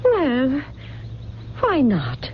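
High-voiced, wistful genteel mother. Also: huge pitch swings, very slow, heavily acted. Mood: wistful, tender. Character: frail, tender mother recovering from a bad back. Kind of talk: 1970s radio drama acting. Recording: dull, noisy old radio broadcast recording.

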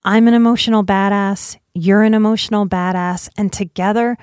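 A woman podcast host reading aloud in an expressive storytelling style. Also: medium pitch, moderate pace, no room sound, clean studio sound.

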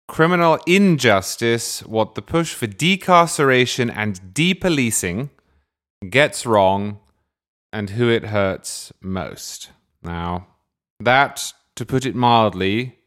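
Slow, lively-voiced podcast host. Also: male voice, on the low side. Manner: dry, wordy, mock-grandiose. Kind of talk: scripted comic monologue and interview.

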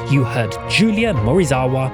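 Lively man reading credits over loud music. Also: medium pitch, normal speed. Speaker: podcast credits announcer. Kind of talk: scripted credits narration.

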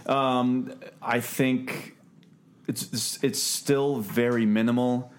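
A man hosting a casual podcast review, talking haltingly and repeating words as he thinks. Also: mid-range voice, fairly even intonation. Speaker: podcast host. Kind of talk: casual film review discussion.

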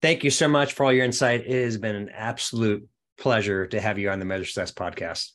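A man's voice: on the low side, a little fast, a bit bright. A man hosting a podcast, politely affirming and thanking his guest. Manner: affirming, courteous. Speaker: podcast host. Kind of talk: interview wrap-up.

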